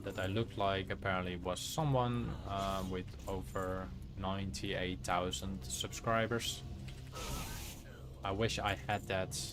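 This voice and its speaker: A man with a Dutch accent, a native Dutch speaker, giving casual livestream commentary as he plays a game. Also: sits low. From Netherlands. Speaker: game streamer.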